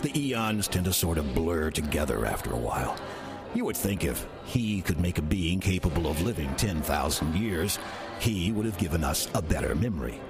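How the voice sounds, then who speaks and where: An actor playing a sly, ancient demon posing as an old church usher, wry and sardonic, with an American accent, in an audio drama. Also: male voice, sits low, big pitch swings, music in the background. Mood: sardonic musing. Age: older. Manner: wry, sardonic, deliberate storytelling. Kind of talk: audio drama first-person narration.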